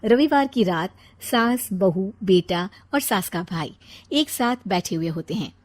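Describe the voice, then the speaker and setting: Woman storyteller reading a family tale, lively intonation, scripted narration. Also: mid-range voice, a little slow.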